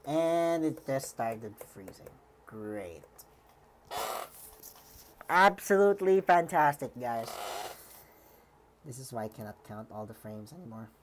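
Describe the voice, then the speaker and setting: A woman with a strikingly deep voice talks through a live stream, hesitant and rambling, full of uh, like and restarts. Her voice sounds a little worn, and a faint hiss sits under it. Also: sing-song, slow, long pauses. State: slightly worn voice. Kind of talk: live-stream reaction commentary.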